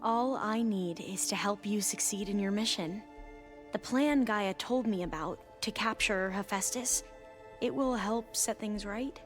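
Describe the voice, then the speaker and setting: A woman's voice: mid-range voice, unhurried, natural voice. Woman acting young female scholar, earnest, dutiful, slightly hesitant, video game drama, faint music. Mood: earnest, resolved. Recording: background music under the voice.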